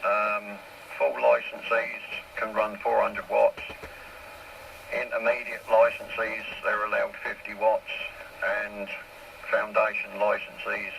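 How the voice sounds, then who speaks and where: A man with a low, gravelly voice talks casually over a two-way radio with faint noise on the signal. His intonation stays fairly even as he explains things step by step. He is plain-spoken and unhurried in how he lays things out. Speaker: amateur radio operator. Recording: noisy two-way CB radio transmission.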